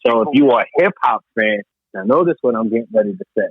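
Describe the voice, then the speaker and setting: A man's voice: fairly low pitch, expressive, quick pace, breathy edge, dry recording. A man in casual, opinionated music discussion on a podcast, speaking emphatically and repeating phrases to drive points home. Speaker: podcast co-host. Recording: thin phone-like line.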